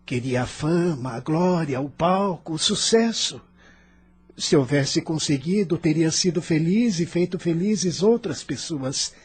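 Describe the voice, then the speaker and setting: Ator fazendo um imigrante amargurado, voz abafada e rouca. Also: male voice, a little high, unhurried, slightly rough. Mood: defiant. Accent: Brazilian. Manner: argumentative, self-pitying, emphatic. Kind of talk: dramatized audio novel dialogue.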